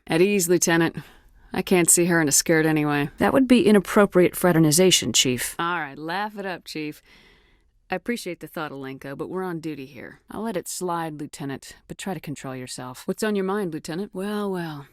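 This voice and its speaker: A tough female starship commander, played by a woman for a science fiction game. Her voice sits on the low side and is recorded close and dry. She speaks in a firm, clipped, authoritative way with a wry, resolute edge. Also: brisk, no echo, close to an everyday voice. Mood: wry, determined. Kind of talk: video game voice acting, dramatic dialogue.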